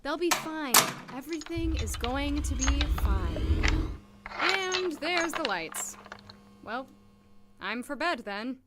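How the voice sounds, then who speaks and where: A woman's voice: high voice, animated, slow, very noisy. A young woman playing a chatty, upbeat doctor new in town in an audio drama, with a jokey, nervous rambling manner. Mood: relieved, self-talk.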